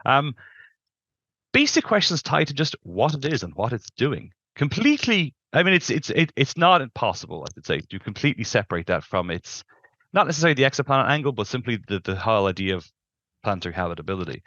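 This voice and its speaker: A man's voice: mid-range voice, big pitch swings, fast, pushed and bright, no room sound, highs cut off. A middle-aged man explaining things as an expert interview guest, emphatic and discursive, on a headset in a video call. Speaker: planetary scientist, interview guest.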